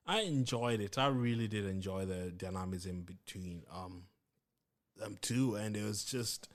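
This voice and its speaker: A man with a Kenyan accent co-hosting a podcast, laughing often in easy chat. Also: fairly low pitch. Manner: slow, laughs often, lively intonation. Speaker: podcast co-host. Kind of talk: casual chat.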